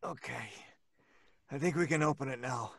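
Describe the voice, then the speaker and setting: An actor playing an anxious, disbelieving husband in an old-time radio horror play, earnest, halting and melodramatic. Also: male voice, medium pitch, gravelly, heavy background noise, lightly acted. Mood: reluctant, grim. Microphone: close studio microphone.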